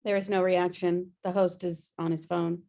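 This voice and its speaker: A woman's voice: lowish voice, flat delivery, normal speed, creaky, warm tone. A woman reading out stage directions as scripted narration at a table read.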